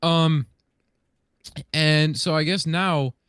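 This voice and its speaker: A man's voice: a little high, steady tone, drawn-out words, a bit bright, clean tone, no room sound, clean studio sound. A man co-hosting a podcast in casual group chat. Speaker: podcast co-host.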